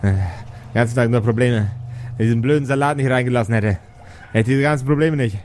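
A man's voice: medium pitch, fast, a little mellow, a little hiss or hum, voice pushed down, lightly acted. A man voicing a nervous, exasperated old wizard who stammers, repeats himself and grumbles, in an improvised fantasy audio play. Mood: muttering, anxious. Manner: stammering, flustered, muttering repetitions.

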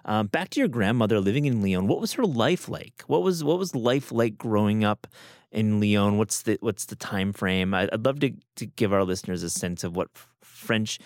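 A man with a fairly low voice hosts the conversation, eager and loose, starting his sentences over and over and padding them with "like". The sound is close and dry. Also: lively intonation, normal speed, no room sound. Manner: enthusiastic, rambling, many restarts and "like". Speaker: podcast host. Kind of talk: conversational interview.

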